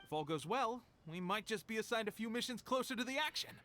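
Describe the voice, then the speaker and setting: An actor voicing an eager, proud young soldier in a video game, speaking in an earnest, declamatory way. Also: male voice, high voice, animated, fast talker, sharp tone, a little hiss or hum.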